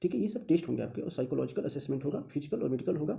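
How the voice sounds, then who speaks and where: Indian guy teaching casually, really mellow dull tone, lots of creak, asks then answers his own questions. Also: fairly high pitch, animated, very fast. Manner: instructional, poses questions then answers them, frequent check phrases. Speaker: online teacher explaining a job exam notification. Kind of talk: informal explainer lesson.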